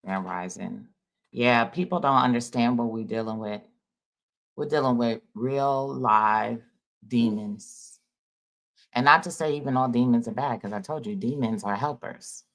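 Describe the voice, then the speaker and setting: A man's voice: medium pitch, a little slow, fairly bright tone. A man giving a loose, rambling spiritual talk to his viewers in an informal, folksy way. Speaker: spiritual vlogger. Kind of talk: casual spiritual monologue.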